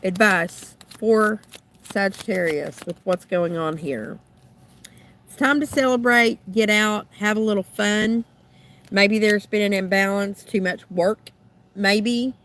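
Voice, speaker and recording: A woman doing a tarot card reading, thinking aloud in musing, trailing phrases, her voice a little rough and raspy from being sick. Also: mid-range voice, slow, a bit bright, a little hiss or hum. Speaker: tarot reader.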